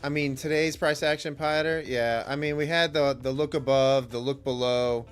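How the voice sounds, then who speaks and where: A man's voice: medium pitch, fairly even intonation, moderate pace, smooth, soft background music. A man with a slightly stuffy, congested nose hosts a live trading stream, chatting casually and commenting on the markets in a laid-back, rambling, informal way. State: stuffy nose and cough from a cold. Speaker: livestream host, futures day trader.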